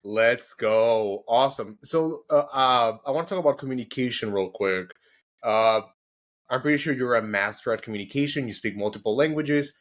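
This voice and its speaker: A man with a mid-range voice hosting an interview. He talks in a loose, chatty way, full of "like" and "you know". His questions come out relaxed and conversational. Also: mid-range voice, normal speed. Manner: casual and chatty, many "like"s. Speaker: podcast host.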